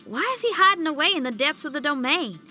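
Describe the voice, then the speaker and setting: A bright, direct young adventurer. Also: female voice, high voice, lively intonation, quick pace, faint music behind, full character voice. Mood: questioning. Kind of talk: video game cutscene dialogue.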